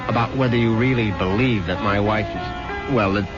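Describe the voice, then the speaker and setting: An actor playing an anxious, guilt-haunted widower in a 1970s radio drama, halting and repeating his words. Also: fairly low pitch, natural voice. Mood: anxious, probing. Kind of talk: 1970s radio drama acting, first-person narration. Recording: dull vintage radio recording with loud music.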